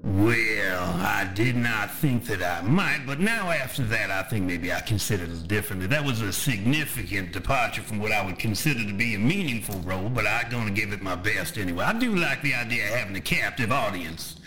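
Folksy Southern undead monster, sharp-edged. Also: male voice, fairly low pitch, expressive, faint music behind, poor audio. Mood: musing, menacing. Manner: folksy, drawn-out musing monologue. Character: folksy undead man, fly-swollen rotting monster, chatty and menacing.

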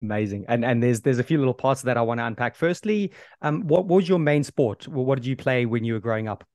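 A man's voice: medium pitch, expressive, fast, darkish tone, dry recording. Dry-sounding male podcast host. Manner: friendly and encouraging, frequent backchannels. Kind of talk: podcast interview.

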